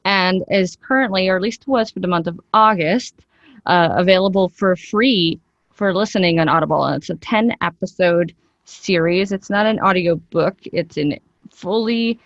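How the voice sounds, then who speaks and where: A woman's voice: fairly low pitch, normal speed, no room sound, lo-fi sound. A woman speaking conversationally as an interview guest, explaining things in a chatty, enthusiastic way. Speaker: interview guest, actress and voiceover artist.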